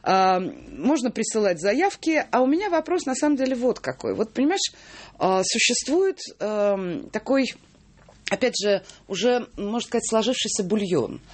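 A woman hosting a radio interview, speaking in a lively conversational way with drawn-out fillers. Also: fairly high pitch. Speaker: radio host.

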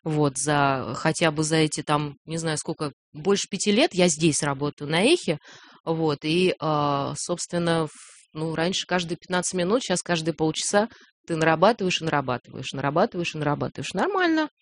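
A woman's voice: deep voice, normal speed, no room sound. A woman from Novosibirsk, a radio news presenter, chatting as a guest in a radio studio, laughing often and hesitating with fillers. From Novosibirsk, Russia. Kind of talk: casual radio chat.

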